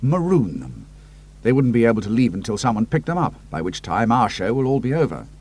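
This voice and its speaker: A man reading the lines of a brisk, commanding British pilot hero, with a crisp and decisive delivery. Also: medium pitch, big pitch swings, a little mellow. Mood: resolute.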